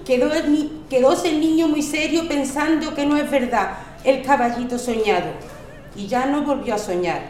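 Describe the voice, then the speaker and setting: A woman from Spain reading poems aloud, animated and storytelling, voicing the quoted lines. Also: high voice, normal speed, echoey room. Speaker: poetry reciter at a community reading. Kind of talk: expressive poetry recitation.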